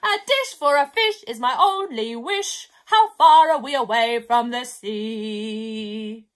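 A woman voicing a big hungry pelican from a children's storybook, curious and boastful, in a loud, drawn-out, exclaiming manner. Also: a little high. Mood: hungry, yearning. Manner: loud, exclaiming, drawn-out sing-song delivery.

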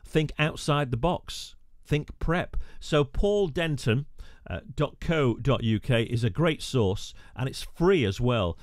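Radio presenter, male, mid-pitched, casual tutorial talk, dry close mic. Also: expressive, slow, no room sound. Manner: chatty, wry asides, frequent "uh" fillers. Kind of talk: screen-recorded tutorial narration.

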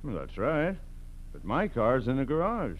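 An American actor playing a mild, puzzled car owner in a 1940s radio drama. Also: male voice, mid-range voice, huge pitch swings, unhurried, highs cut off. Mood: puzzled, polite. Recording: noisy, humming vintage radio recording.

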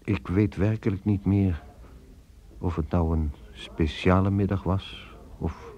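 Radio drama lead: brooding, weary businessman-narrator, reflective and measured, deep pitch, mellow tone. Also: steady tone. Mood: brooding, bitter.

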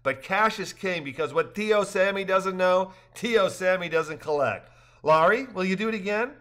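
A man's voice: sits high, moderate pace, fairly bright tone, pitched above the performer's own voice. A man voicing a friendly young male river guide in a story reading, speaking cheerfully. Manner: cheerful, smiling delivery.

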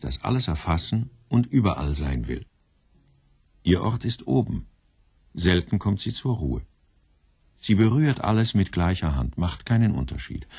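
A man narrating a scripted literary radio programme in measured, short, pointed phrases. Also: low voice, a little mellow, slightly rough, no room sound.

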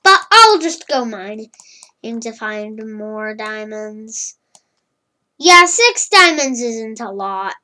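A child narrating a homemade number-block animation in a slow, wondering way, with many hums and murmurs. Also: mid-range voice, big pitch swings, pushed and bright.

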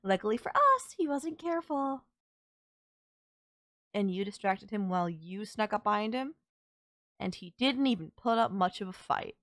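A woman's voice: medium pitch, sing-song, normal speed, pauses often, fairly bright tone, no echo, clean studio sound. A woman playing a sultry, possessive kidnapper who gloats over and coaxes a captive in an intimate audio roleplay. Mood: smug, pleased. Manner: teasing, coaxing, controlling.

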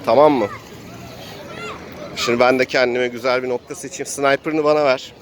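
A man's voice: mid-range voice, steady tone, moderate pace, slight background noise. A man improvising narration for a tabletop role-playing game, telling the action as if he were living it. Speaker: game master and player.

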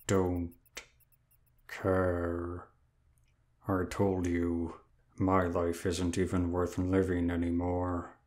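A performer playing a lazy, bitter, exhausted demon of Sloth in an audio drama, speaking in a weary, self-pitying drawl. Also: sits low. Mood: bitter, bored. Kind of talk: audio roleplay drama acting.

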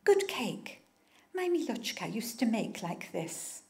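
A woman's voice: fairly high pitch, very animated, slow, creaky, a little mellow, full character voice. A woman voicing a genial, frail elderly father who speaks short, simple broken English.

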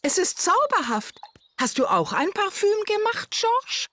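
An older woman voicing a kindly, doting grandmother pig in a cartoon, gentle, encouraging and expressive. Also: very high-pitched, unhurried, dry recording, full character voice. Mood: kindly, delighted.

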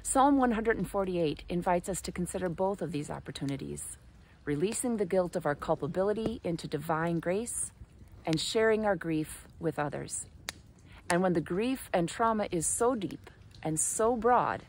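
A woman pastor in her forties speaks in a slightly low voice, measured and earnest, with a lyrical devotional flow. She is recorded outdoors beside a fire on a phone held at arm's length. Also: animated, a little mellow, slight background noise. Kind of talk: reflective devotional address. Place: outdoors by a fire pit in snow at night. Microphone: phone camera at arm's length.

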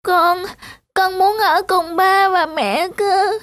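A woman's voice: very high voice, steady tone, slow. A woman voicing a plaintive, clingy little girl in a cartoon. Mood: tearful. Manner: plaintive, childlike. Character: sad, clingy little girl attached to her father. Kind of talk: cartoon voice acting. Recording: music behind the voice.

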